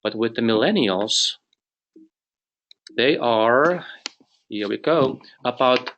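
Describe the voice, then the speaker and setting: A man's voice: mid-range voice, animated, slow, fairly bright tone, muffled recording. A middle-aged man from Finland with a Finnish accent, presenting a business webinar carefully, with restarts and light humor. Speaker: company president presenting a webinar.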